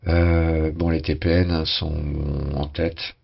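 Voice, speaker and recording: A man with a very deep, hoarse voice gives a loose, conversational commentary on a sailing race game. He hesitates often, repeating words and filling gaps with uh as he goes. The recording sounds somewhat dull and lo-fi. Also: flat delivery, slow. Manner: hesitant, many fillers and restarts. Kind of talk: casual live game commentary.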